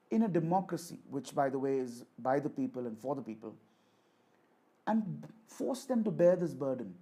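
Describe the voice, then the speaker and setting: A man with a husky, mellow voice pitched a little high delivers a political speech. He is declamatory and deliberate, framing points as rhetorical address and leaning on repetition for emphasis. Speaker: orator reading a voice sample. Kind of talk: rhetorical political speech, rehearsed with repeated takes.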